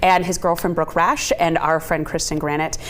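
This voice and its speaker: A woman's voice: sits low, flat delivery, normal speed, fairly bright tone, a little hiss or hum. A woman with an American accent chatting effusively and casually as an interview guest. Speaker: theatre company co-founder, interview guest.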